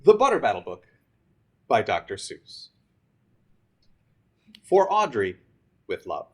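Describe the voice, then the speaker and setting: A man's voice: on the low side, wildly swooping pitch, takes it slowly, husky. A man, a teacher, reading a rhyming picture book aloud to students in a theatrical, emphatic way.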